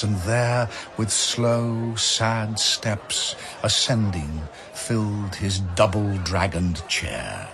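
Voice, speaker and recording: A man giving solemn, theatrical, measured narration of epic verse over atmospheric sound effects. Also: fairly low pitch. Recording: clear ambient noise behind narration.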